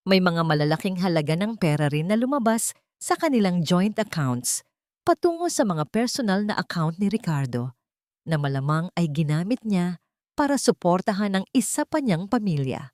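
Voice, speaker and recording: A woman's voice: lowish voice, sing-song, normal speed, darkish tone, no room sound, clean studio sound. A woman narrating a scripted dramatic story as a storyteller.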